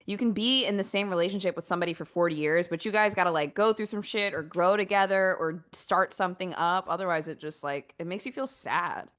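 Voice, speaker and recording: A woman's voice: lowish voice, a little fast, hardly any pauses, fairly bright tone. A woman chatting on a podcast, playful and reflective. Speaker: podcast guest. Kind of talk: casual podcast chat.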